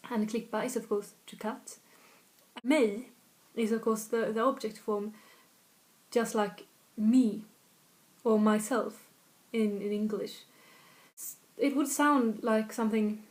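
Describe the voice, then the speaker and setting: A woman's voice: medium pitch, low-quality recording. A woman from north of Stockholm with a Swedish accent, chatting casually as a language tutor and repeating example phrases. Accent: Swedish, slightly northern. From Sweden, north of Stockholm. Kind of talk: casual video lesson.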